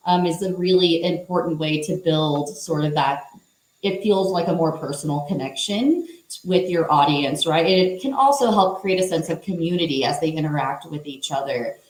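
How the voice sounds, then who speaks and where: A woman, a former teacher, explaining her ideas fluently on a conference panel and checking in with a frequent "right?". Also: lowish voice. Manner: fluent, explanatory, often adds a questioning "right?". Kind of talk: conversational panel talk. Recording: roomy echo.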